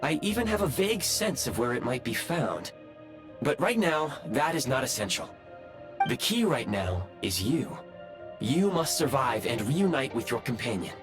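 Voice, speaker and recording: A man's voice: medium pitch, very animated, normal speed, music in the background, lightly acted. A man voicing a polite robot assistant in a video game, speaking in formal, precise, machine-like phrases with devotion to serving. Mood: resolute. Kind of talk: video game character dialogue.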